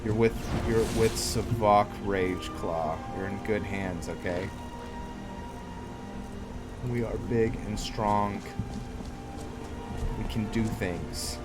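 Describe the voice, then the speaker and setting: Slow-talking man doing casual game commentary. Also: fairly low pitch. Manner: laid-back, rambling, talks to game characters. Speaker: gaming YouTuber, host of a roleplay let's play. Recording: game music and rain behind voice. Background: loud game music, rain and thunder.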